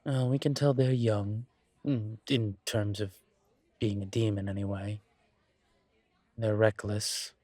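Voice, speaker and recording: A man playing a composed, wry incubus investigator in a scripted roleplay audio drama, speaking in a measured, deliberate, conversational way. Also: fairly low pitch, steady tone, a little mellow, no room sound. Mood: serious, measured. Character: composed, wry male incubus demon investigator, politely self-assured.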